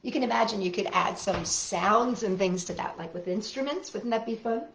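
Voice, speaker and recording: A woman's voice: medium pitch, normal speed, fairly bright tone, slight background noise. A woman hosting a children's story time, reading aloud in simple phrases with dramatic emphasis. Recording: roomy, dull lo-fi home recording.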